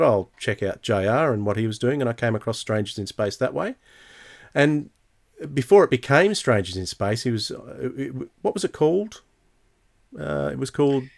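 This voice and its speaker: A man's voice: mid-range voice, creaky. A man hosting a podcast in a casual, conversational way. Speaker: podcast host. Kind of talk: casual chat.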